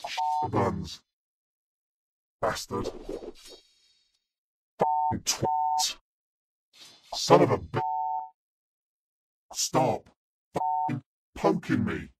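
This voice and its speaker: A man's voice: mid-range voice, big pitch swings, extremely slow, long pauses, husky, no room sound, full character voice. A man voicing an angry, foul-mouthed celebrity chef in a mobile game, barking short insults and orders through a demonic voice effect. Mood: exasperated, insulting. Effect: demonic voice filter. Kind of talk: mobile game character voice lines.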